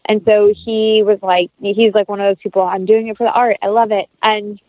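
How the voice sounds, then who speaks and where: A woman speaks as an interview guest on a dull, band-limited recording with little room sound. Her pitch is mid-range. She talks in a casual, rambling, informal way. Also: level tone, fairly quick, dry recording. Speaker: interview guest, film actress.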